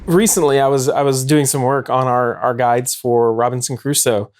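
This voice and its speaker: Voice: mid-range voice, steady tone, normal speed, a little mellow. A host talking casually about books in an explanatory way, often rephrasing mid-thought. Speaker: show host. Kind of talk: casual book discussion.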